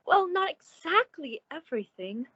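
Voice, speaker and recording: A woman's voice: very high voice, a bit bright, dry recording, lo-fi sound, exaggerated voice. A woman acting a naive, nervous, placating young-sounding character in an old-time radio suspense play, with exclamatory delivery.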